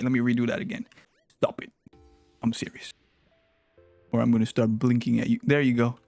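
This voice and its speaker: A man's voice: mid-range voice, gravelly. A young man whose first language is Spanish, chatting casually and playfully with his live-stream chat. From Latin America. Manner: animated, playful, teasing with chat.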